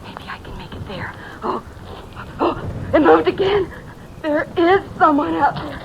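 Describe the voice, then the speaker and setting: A woman's voice: high-pitched, big pitch swings, a little slow, lo-fi sound, exaggerated voice. An actress playing a frantic, grief-stricken woman talking breathlessly to herself in an old-time radio thriller. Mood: terrified. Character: frantic, grief-stricken woman searching for her buried sweetheart. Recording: noisy old radio recording, rain behind.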